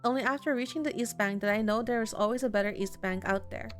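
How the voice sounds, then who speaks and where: A woman's voice: fairly high pitch, fast talker, soft background music. A woman streaming a visual novel, reading the game's dialogue aloud and reacting casually.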